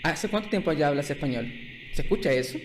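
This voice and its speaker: A man's voice: fairly high pitch, expressive, fast, a little hiss or hum. A man from Chile hosting a podcast interview over a video call, joking and laughing often. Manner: laughs often, jokes, restarts words. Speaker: podcast host.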